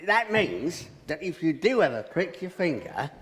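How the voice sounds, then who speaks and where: High-pitched, bumbling magic helper. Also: male voice, sing-song, measured pace, a bit bright. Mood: hopeful, sheepish. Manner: hesitant, stammering, coaxing. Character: bumbling, eager, well-meaning pantomime magic helper. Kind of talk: pantomime stage acting. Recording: hall reverb with music, live stage.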